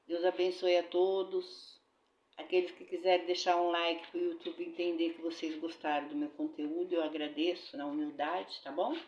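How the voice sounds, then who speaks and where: A woman's voice: fairly low pitch, flat delivery, normal speed. A middle-aged Brazilian woman with a flu, chatting casually to her viewers from her home kitchen while washing dishes. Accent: Brazilian Portuguese. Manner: chatty, addresses viewers as "gente", religious blessings. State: recovering from a bad flu. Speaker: vlogger. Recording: roomy, dull, noisy kitchen recording.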